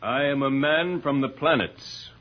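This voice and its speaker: An actor playing a noble, commanding alien spaceman in a 1950s radio serial, speaking in a formal, measured, declamatory way. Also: mid-range voice, close to an everyday voice. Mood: solemn, proud. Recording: muffled, husky old radio recording.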